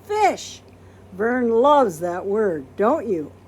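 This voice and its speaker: A woman's voice: on the high side, big pitch swings, very slow, a little mellow, breathy edge. A woman reading a children's picture book aloud expressively, acting out quoted words and putting questions to listeners. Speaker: storybook reader.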